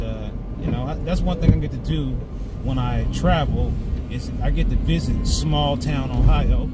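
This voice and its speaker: A man with a slightly hoarse, dry voice chats casually and rambles to livestream viewers as host while driving in a car. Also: medium pitch. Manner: rambling, conversational asides to viewers. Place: inside a moving car on country roads. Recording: loud car and road noise. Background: car driving noise.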